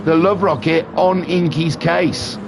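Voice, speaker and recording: Man, nasal from a head cold, fairly high voice, excitable race caller, noisy background. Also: takes it slowly, vocal fry. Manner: excitable, jokey, rambling asides. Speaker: race commentator. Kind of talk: live sim racing commentary.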